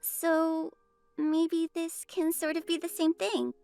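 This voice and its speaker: A woman playing a sweet, innocent little girl in a game, soft and earnest in a childlike way. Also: very high-pitched, level tone, slow pace, gravelly, soft background music, exaggerated voice. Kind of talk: visual novel voice acting.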